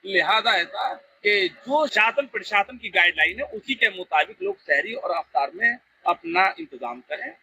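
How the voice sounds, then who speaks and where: A man's voice: high-pitched, animated, moderate pace, pushed and bright, a little hiss or hum, poor audio. A man, a cleric, giving an unscripted statement to the press.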